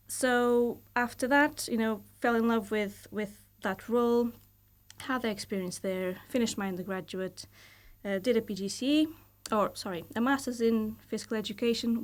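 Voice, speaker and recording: Thoughtful Portuguese-accented woman in her thirties. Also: mid-range voice. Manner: thoughtful, measured, hedges often with fillers. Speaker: guest, university lecturer. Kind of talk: casual podcast interview. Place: podcast studio.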